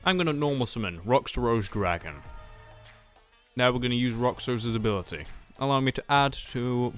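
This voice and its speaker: A man voices a confident, slightly absent-minded card duelist in an anime-style roleplay. His mid-pitched voice moves up and down in a lively way as he thinks aloud. Faint music plays behind him. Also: close to an everyday voice. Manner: thinking aloud with hesitant hums. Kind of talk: improvised anime-style roleplay dialogue, game commentary.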